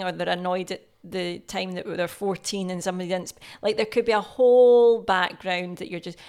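A woman's voice: mid-range voice, clear, resonant tone. A woman with a Scottish accent co-hosting a podcast, talking in a chatty, reflective way and often chiming in with agreement. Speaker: podcast co-host. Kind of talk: casual business chat.

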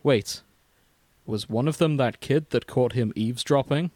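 A young man co-hosting a podcast, reading fan fiction aloud with deadpan, mocking asides. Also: mid-range voice, a little slow, no echo. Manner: wry, deadpan, dips into mocking quotes. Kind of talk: casual chat and comic dramatic reading.

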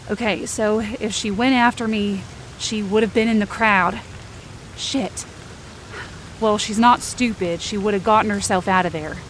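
A woman's voice: medium pitch, a little hiss or hum. Woman narrating a tense first-person story. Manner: tense, searching inner monologue. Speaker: narrator and lead performer.

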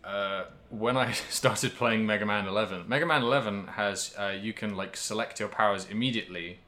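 A man's voice: on the low side, moderate pace, sharp tone. A man co-hosting a podcast, chatting casually about video games in a rambling, hesitant way and often correcting himself. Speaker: podcast co-host.

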